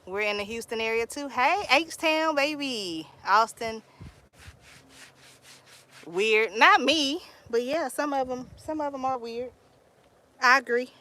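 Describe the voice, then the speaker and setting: A young Texan woman talks into her phone out in her garden, unhurried and chatty. Her voice sits a bit high, and she drawls through stories in easygoing Southern slang. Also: pushed and bright. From Texas, US. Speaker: homesteading live streamer. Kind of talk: casual live stream chat. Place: outdoor garden.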